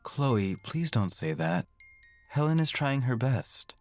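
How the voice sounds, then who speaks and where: A woman playing a gentle, earnest father in a horror radio drama. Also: rumbling low, sing-song, slow, dry recording, soft background music, exaggerated voice. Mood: tender, weary. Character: gentle, protective father pleading with his daughter.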